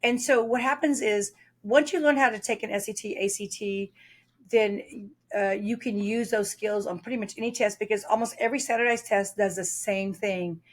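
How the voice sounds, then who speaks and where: Female guest expert, mid-pitch, chatty storyteller with fillers. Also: fairly even intonation, moderate pace. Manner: talkative, explains with stories, frequent fillers. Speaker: test prep author and guest. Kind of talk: podcast interview.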